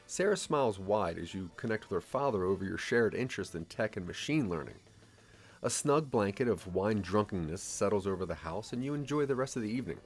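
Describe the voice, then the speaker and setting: Low-pitched male audio drama narrator. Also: breathy edge. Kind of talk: scripted second-person audio drama narration.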